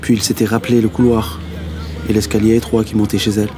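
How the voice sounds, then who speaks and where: A man's voice: lowish voice, flat delivery, fast, crowd noise, close to an everyday voice. A male actor playing a tender, resigned young narrator, telling his story in an understated, intimate first-person radio drama style. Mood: reflective, tender. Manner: reflective, understated. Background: music.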